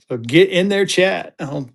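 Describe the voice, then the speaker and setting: A man hosting a live-stream auction of paper money, chatty, jokey and excitable. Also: sits high, vocal fry. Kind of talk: casual live-stream selling chat.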